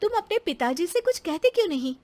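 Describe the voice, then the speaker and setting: A woman's voice: very high-pitched, sing-song, a little fast, a little mellow, voice pushed up. A woman voicing an outspoken young bride in a cartoon story, cheeky and direct in manner. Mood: questioning, firm. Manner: pert, direct.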